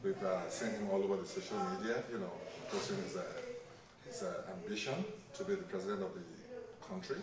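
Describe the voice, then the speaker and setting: A man's voice: lowish voice, lively intonation, fairly quick, gravelly, distant mic. Nigerian guy, middle aged, formal and wordy, lotta uh's, off mic with a crowd around. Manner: formal, wordy, many "uh" fillers. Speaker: event attendee being interviewed. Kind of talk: answering interview questions. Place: recreation center, crowd nearby. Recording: very echoey hall, voice from off-mic, crowd behind.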